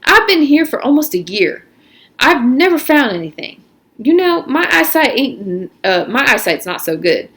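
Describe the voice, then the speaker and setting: A woman reader's take on a teenage boy who runs his gang in a storybook. He talks in a persuasive, informal way, angling for his own gain. The voice swings around in pitch with plenty of animation. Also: very animated, moderate pace, fairly bright tone, full character voice. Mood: coaxing, confiding. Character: teenage boy gang leader, coaxing and self-serving.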